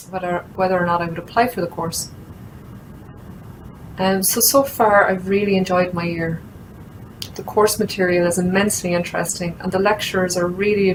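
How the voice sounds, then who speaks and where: A woman's voice: on the low side, a little hiss or hum, rough recording. A young woman, talking steadily and earnestly about her studies into a laptop webcam at home. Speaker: master's student. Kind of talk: prepared testimonial talk. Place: room at home with shelves.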